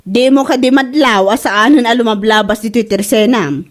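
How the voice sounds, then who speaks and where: A put-upon young wife acted by a woman with a high voice and a Filipino accent. She talks back to her mother-in-law with sassy, exclaiming complaints, in radio comedy style. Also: a little fast, lightly acted. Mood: sly, sassy. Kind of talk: Ilocano comedy radio drama acting.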